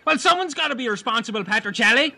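A man's voice: very high voice, lively intonation, quick pace, bright, forceful tone, heavily acted. A man playing a bossy, smug know-it-all castaway in an improvised comedy audio drama.

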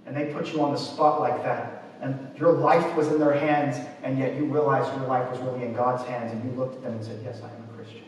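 A man's voice: mid-range voice, steady tone, brisk. A man in his twenties preaching a sermon from a church pulpit, reading and explaining scripture. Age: 20s. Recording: echoey church, distant mic, faint noise.

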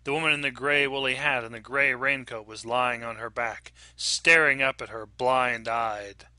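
A man's voice: mid-range voice, fairly even intonation, moderate pace, pushed and bright, clean tone, dry recording. A man reading scripted fiction aloud as a dramatic narrator. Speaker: story reader and narrator.

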